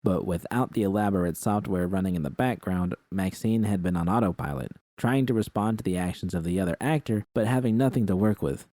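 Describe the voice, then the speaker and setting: A man's voice: sits low, fairly quick, few pauses, dark tone, dry recording, clean studio sound. A man reading scripted first-person fiction as an audiobook narrator.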